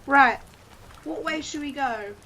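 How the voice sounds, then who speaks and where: Bright, playful woman with a cold. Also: sits high, takes it slowly, slight background noise. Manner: playful, excitable. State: has a cold. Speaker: gaming co-host. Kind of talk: casual let's-play chat.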